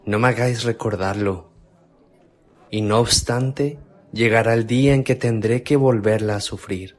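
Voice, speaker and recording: A man's voice: on the low side, steady tone, a little slow, a little mellow, natural voice. An actor playing an earnest young man raised from the dead in a devotional radio drama, speaking emphatically. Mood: fearful.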